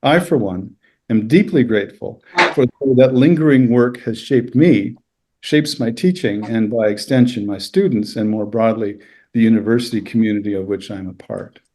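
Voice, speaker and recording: A man whose first language is Low German, a university lecturer reading a prepared reflection in a measured, formal, scholarly way. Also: a little mellow. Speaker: university lecturer, panel speaker. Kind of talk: prepared reflection read aloud at a book launch.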